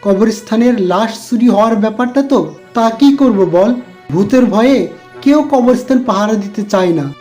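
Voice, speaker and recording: A woman's voice: fairly high pitch, moderate pace, dark tone, faint music behind, close to an everyday voice. A woman voicing an elderly village headman in a cartoon, mildly impatient. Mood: concerned.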